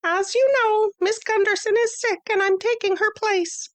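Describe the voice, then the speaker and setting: A woman voicing a tiny, pompous male mouse who acts as a brisk substitute teacher, lecturing in a crisp, bossy way. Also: very high voice, fairly even intonation, pitched above the performer's own voice. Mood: commanding. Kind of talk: storybook reading.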